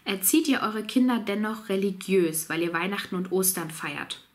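Chatty mom with a cold, talking to camera. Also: female voice, medium pitch, normal speed. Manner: relaxed, chatty, laughs easily, reads out questions. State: has a cold. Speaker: mom vlogger answering viewer questions. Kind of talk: casual chat to camera. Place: small home office indoors.

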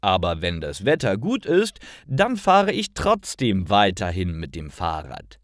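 Eager young male character , played by a male narrator, very expressive, dry sound, storybook dialogue. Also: low voice, unhurried, dry recording, full character voice. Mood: pleased. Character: earnest young man asking his friend for advice. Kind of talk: acted dialogue in a learner story.

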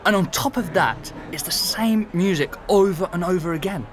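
An actor playing a chatty young man who once shared a flat with a missing student, laughing as he tells it, over faint music in an audio drama. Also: sits high, moderate pace, natural voice. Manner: chatty, laughs while telling. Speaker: former flatmate. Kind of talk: conversational audio drama acting.

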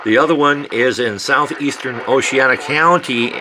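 Musing American man over noisy radio chatter. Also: medium pitch. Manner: musing, unhurried, halting. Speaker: radio hobbyist narrator. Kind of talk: casual off-the-cuff commentary. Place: indoors beside a scanner radio. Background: weather radio broadcast playing.

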